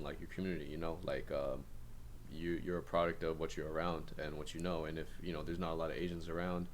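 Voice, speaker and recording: A young man from San Jose, a hip-hop artist guesting on a podcast, speaking in a thoughtful, measured way full of "like" and "you know". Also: deep voice, a little hiss or hum. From San Jose, California. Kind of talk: casual conversation.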